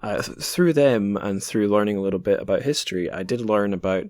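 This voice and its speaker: A man's voice: lowish voice, fairly quick, darkish tone, no echo, studio quality. A man hosting a podcast in casual interview chat, informal and a little self-deprecating. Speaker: podcast host.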